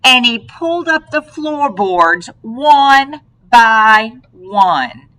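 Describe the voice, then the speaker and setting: A woman reads a children's picture book in a bright, highly animated voice. She takes it very slowly and expressively, drawing a young listener in with questions. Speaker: read-aloud storyteller to a young child.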